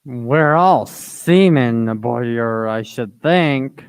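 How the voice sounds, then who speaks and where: Man as a very slow, creaky pirate crewman. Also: medium pitch, animated. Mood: sly, amused. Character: drawling, rough pirate crewman plotting mutiny. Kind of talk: radio play acting. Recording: loud background noise.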